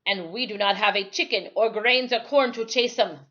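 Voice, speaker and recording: A woman voicing a superstitious old West Indian sailor man in a storybook, grumbling darkly in slow, emphatic dialect. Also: medium pitch, sharp tone, highs cut off, close to an everyday voice. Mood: ominous, grumbling.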